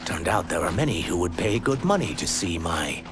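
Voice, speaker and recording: An actor voicing a remorseful reptilian shapeshifter in human form, earnest and formal, in dramatic video game style. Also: male voice, low-pitched, very animated, moderate pace, background noise. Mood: sorrowful. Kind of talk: video game cinematic acting.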